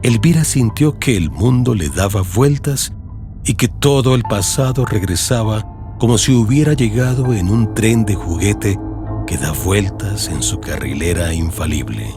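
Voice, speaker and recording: A man with a Colombian accent narrating a literary radio drama audiobook in a measured storytelling delivery, with music behind him. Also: lowish voice, animated. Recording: music bed under narration.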